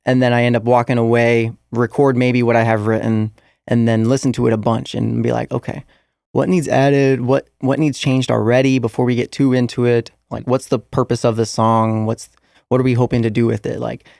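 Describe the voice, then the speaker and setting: A man's voice: medium pitch, flat delivery, normal speed, gravelly, darkish tone, no echo, studio quality. A young man, a musician guest in an interview, answering casually with many fillers and restarts.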